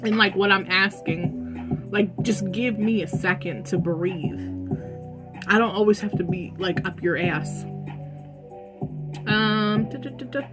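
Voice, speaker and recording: A young woman with a loud voice answering viewer questions in a casual vlog, chatty and saying "like" a lot. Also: medium pitch, slow pace, long pauses, loud music behind the voice. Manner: chatty, says "like" often. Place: room at home with dogs.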